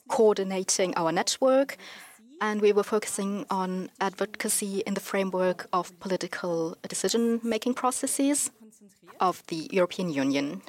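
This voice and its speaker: A woman representing a digital rights organization, giving a conference talk with slow, hesitant phrasing and self-corrections. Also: mid-range voice. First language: not German. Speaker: NGO representative.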